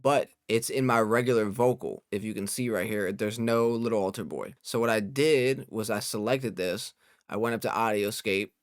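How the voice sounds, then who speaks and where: A young man hosting a casual software tutorial, explaining informally step by step as an audio engineer. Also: on the low side, normal speed, no room sound, studio quality.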